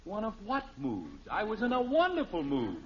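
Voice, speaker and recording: A man's voice: sits high, huge pitch swings, normal speed. An actor playing an exasperated, blustering middle-aged American father in a 1950s radio sitcom, speaking emphatically. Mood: indignant. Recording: dull, noisy old radio recording.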